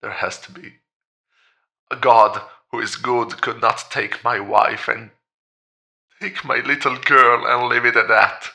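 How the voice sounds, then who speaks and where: An actor playing a grieving father in a horror audio drama, desperately clinging to faith, pleading in halting, insistent repetitions. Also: medium pitch, fairly even intonation, vocal fry, pushed and bright, exaggerated voice. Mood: desperate, fervent. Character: grieving father, desperate believer in a promised resurrection.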